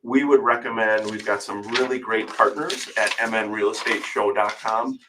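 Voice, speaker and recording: A man's voice: on the low side, steady tone, moderate pace, noisy background. An American man hosting a live radio talk show, his voice slightly froggy from a cold. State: battling a cold. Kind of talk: live call-in real estate radio talk.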